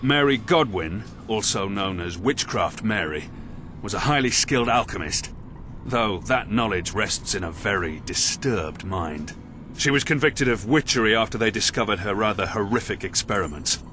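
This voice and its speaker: Man acting a deep-voiced, grim military commander. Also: expressive, moderate pace, noisy background, natural voice. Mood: grave, explaining. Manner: earnest, formal, authoritative. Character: grim, dutiful male military commander loyal to the crown. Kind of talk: video game cutscene acting.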